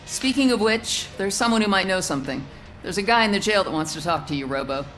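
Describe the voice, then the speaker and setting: An actress playing a friendly, level-headed police officer in a video game, speaking casually. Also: female voice, mid-range voice, animated, fast talker, fairly bright tone, faint music behind, close to an everyday voice. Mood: calm, firm.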